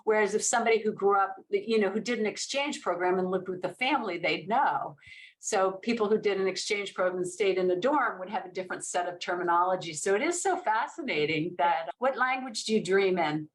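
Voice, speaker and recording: A woman's voice: mid-range voice, fairly quick, rough recording. A woman hosting a casual interview podcast, talking in a conversational way and often affirming her guest with yeahs. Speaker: podcast host.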